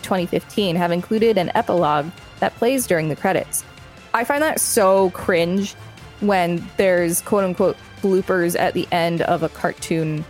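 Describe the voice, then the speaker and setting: A woman's voice: on the low side, darkish tone. A woman co-hosting a podcast, reading an encyclopedia article aloud and adding casual asides. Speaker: podcast co-host.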